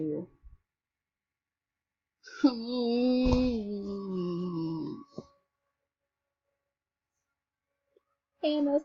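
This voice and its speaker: A woman commenting on a game she plays, excitable and jumping between shrieks and hushed whispers, sick and shivering with cold. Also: mid-range voice, breathy edge, lo-fi sound. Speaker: game video creator. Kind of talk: casual gameplay commentary.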